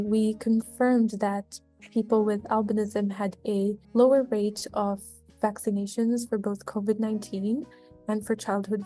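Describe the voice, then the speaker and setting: A young woman from Tanzania, a graduate student researcher, telling her story in a measured, earnest way. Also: mid-range voice, dark tone. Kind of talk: personal storytelling documentary voice-over.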